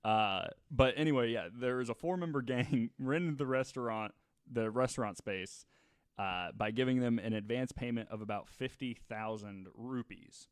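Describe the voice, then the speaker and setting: A man's voice: mid-range voice, normal speed, clean tone, no echo, clean studio sound. A man co-hosting a podcast, telling a story in a casual, jokey, conversational way with some laughing as he talks. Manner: jokey, laughs while talking. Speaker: podcast co-host telling a true crime story.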